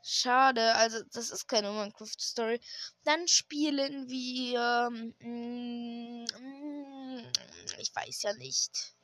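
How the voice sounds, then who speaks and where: A hoarse woman with a fairly high voice gives casual commentary as she plays at home. She talks slowly, hesitates and restarts her sentences. The desk mic sounds close and dry. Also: steady tone, fairly bright tone, dry recording. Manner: hesitant, rambling, many fillers and restarts. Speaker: gaming channel host. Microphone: desk microphone.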